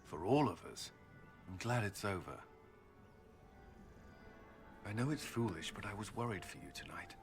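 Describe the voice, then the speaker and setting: Gentle, low-voiced courtly gentleman. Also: lively intonation, normal speed, pauses often, faint music behind. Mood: tender, shy. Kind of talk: restrained romantic game dialogue.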